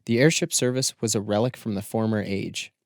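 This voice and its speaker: A man narrates a literary audiobook in a fairly low voice. His phrasing is measured and deliberate. The sound is dry, with no room echo.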